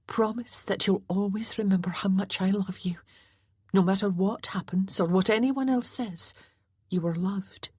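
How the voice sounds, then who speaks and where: A tender young mother in a storybook, as a woman narrator puts her on, earnest and loving yet firm. Her voice is a little husky, with some lines sinking to a whisper, recorded close and dry. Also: mid-range voice, moderate pace, dark tone, dry recording, voice pushed up, natural voice.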